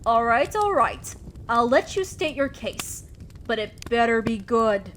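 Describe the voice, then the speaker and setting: High-voiced, imperious demon lady boss, acted by a woman. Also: very animated, unhurried, a little hiss or hum. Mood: stern, threatening. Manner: commanding, condescending, scolding. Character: imperious female demon lord, stern, exacting boss of hell. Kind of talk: scripted roleplay audio drama monologue.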